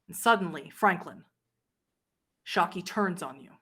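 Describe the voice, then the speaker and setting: A woman's voice: lowish voice, animated, unhurried, fairly bright tone, breathy edge. A woman acting as game master, narrating a tabletop roleplaying game, explaining rules and describing scenes to players.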